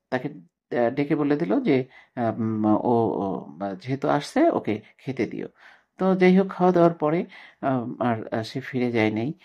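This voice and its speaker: A man giving a literature lesson as a teacher, reading and explaining haltingly, repeating phrases as he goes. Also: medium pitch, darkish tone, husky. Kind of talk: lesson: reads story aloud, then explains. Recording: dull lo-fi recording.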